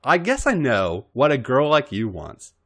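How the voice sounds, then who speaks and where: A man voicing a sweet, wide-eyed young woman in a story, with breathy exclamations and a teasing, stern edge. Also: mid-range voice, wildly swooping pitch, measured pace, fairly bright tone, pitched above the performer's own voice, heavily acted. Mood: mock disappointed, scolding.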